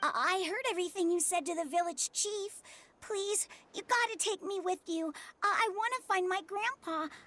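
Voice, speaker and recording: High, earnest little boy. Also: bright, forceful tone. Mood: pleading. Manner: childlike, earnest, halting. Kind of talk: English anime game dub.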